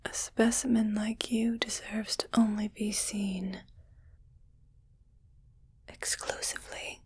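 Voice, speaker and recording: Woman whispering as a very slow, haughty alien collector. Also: mid-range voice, little pitch movement. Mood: appraising, possessive. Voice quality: soft, breathy whisper. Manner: slow, deliberate, pausing between short phrases, coolly appraising. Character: greedy rich female alien collector, haughty and possessive. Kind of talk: whispered ASMR roleplay.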